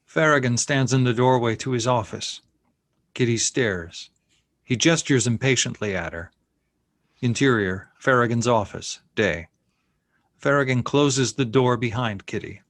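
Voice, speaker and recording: A man's voice: medium pitch, a little slow, fairly bright tone, dry recording, studio quality. A man reading scene headings and action lines as narrator of a screenplay table read. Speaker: narrator reading stage directions.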